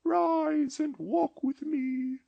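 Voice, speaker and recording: A woman voicing a gentle, otherworldly ghost of the past in an audiobook dramatization, speaking slowly and solemnly. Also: sits high, steady tone, vocal fry, no echo, lo-fi sound, full character voice. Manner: slow, solemn and mild.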